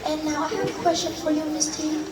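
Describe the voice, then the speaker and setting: A woman's voice: high voice, completely flat delivery, a little fast, a loud crowd, natural voice. A woman playing an upbeat male confidence coach for students in a school role-play, speaking in an earnest, recited way. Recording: echoey hall, distant mic, background noise.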